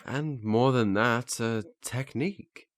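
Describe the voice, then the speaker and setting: A man's voice: medium pitch, slow pace, no echo, natural voice. A man playing an earnest, flustered learner in a naturalistic audio drama, hesitant and stammering as he restarts his phrases. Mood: earnest, explaining. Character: earnest, flustered male learner eager to master memory techniques.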